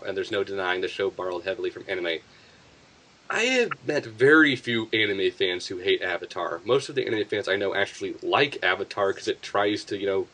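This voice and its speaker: Male co-host, low register, opinionated and blunt, casual commentary. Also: pushed and bright.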